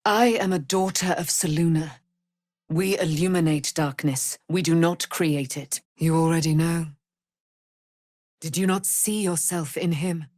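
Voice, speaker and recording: A woman's voice: deep voice, unhurried, a little mellow, dry recording, studio quality. An actress playing a proud, immortal holy warrior woman in a fantasy game, speaking in grand, solemn, formal phrases. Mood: grave, proud. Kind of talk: theatrical fantasy game voice acting.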